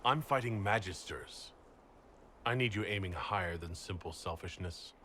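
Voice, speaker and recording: A man's voice: low voice, moderate pace, pushed and bright, a little hiss or hum. A man playing a stern, guarded commander in a video game, speaking in a curt, restrained way. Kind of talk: video game dialogue acting.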